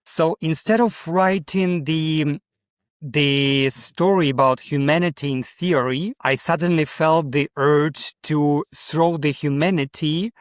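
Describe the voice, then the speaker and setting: A man with a Ukrainian accent giving reflective interview answers, haltingly searching for words as a podcast guest. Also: fairly high pitch, fairly even intonation, no room sound, clean studio sound. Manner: halting, searching for words in non-native English. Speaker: podcast guest, novelist and cultural theorist.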